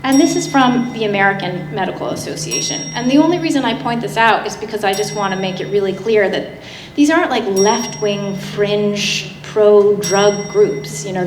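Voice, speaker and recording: A woman giving a public slide presentation in a conversational, halting way with frequent asides. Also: mid-range voice, over music. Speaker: guest speaker giving a public talk. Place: indoor public talk venue. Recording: roomy, echoing hall with noticeable noise.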